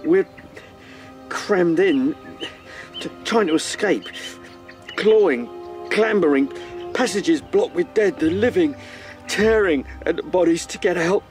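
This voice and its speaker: Slow, shaken survivor rabbit captain. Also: male voice, sits high, darkish tone. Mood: horrified, anguished. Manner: halting, haunted, breaking off mid-sentence. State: shaken, distressed. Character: shaken, traumatised adult male rabbit, former captain. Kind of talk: radio drama acting. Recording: music under the voice.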